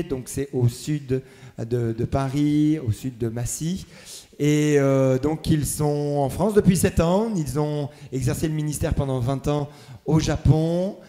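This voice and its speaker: A man's voice: fairly high pitch, mellow. Hesitant man welcoming a church congregation. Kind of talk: warm church announcements and prayer. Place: church service.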